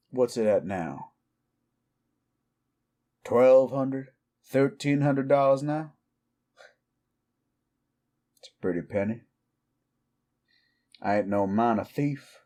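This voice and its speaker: Guy acting a cowboy outlaw werewolf, real slow talker, brooding and goading. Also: mid-range voice, dark tone. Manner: slow, deliberate, goading, rhetorical questions. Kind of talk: intimate roleplay audio drama acting.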